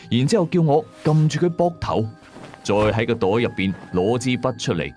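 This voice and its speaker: 一個香港粵語口音嘅男人，用第一身、口語化又戲劇性嘅方式講廣播鬼古。. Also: male voice, mid-range voice, a little mellow. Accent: Hong Kong Cantonese. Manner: dramatic, colloquial. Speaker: radio drama narrator. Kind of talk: first-person ghost story narration.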